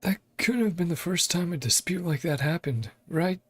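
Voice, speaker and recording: A woman's voice: fairly low pitch, animated, normal speed. A woman streaming a game, casually murmuring fragments of on-screen text and adding short muttered reactions. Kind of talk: casual game playthrough commentary, murmuring along with on-screen dialogue.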